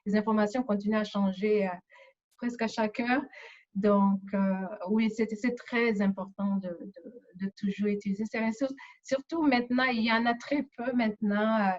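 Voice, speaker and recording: A woman's voice: mid-range voice, steady tone, moderate pace, darkish tone. Woman moderator with muffled, lo-fi sound. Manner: hesitant, repeating words as she explains. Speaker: moderator of a community discussion. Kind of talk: spontaneous discussion facilitation.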